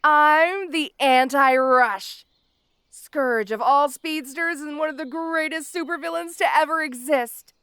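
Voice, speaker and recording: A woman playing a petty, obsessed female supervillain in an audio roleplay, theatrical and taunting, with mock-sweet, drawn-out words. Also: sits high, sharp tone, no room sound, studio quality. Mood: smug boasting. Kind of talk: scripted audio roleplay addressed to the listener.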